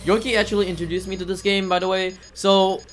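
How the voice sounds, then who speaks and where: A man's voice: sits high, little pitch movement, fast talker. A man giving casual live commentary as a speedrunner, chatty and repeating himself as he goes. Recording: loud game music behind. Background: video game music.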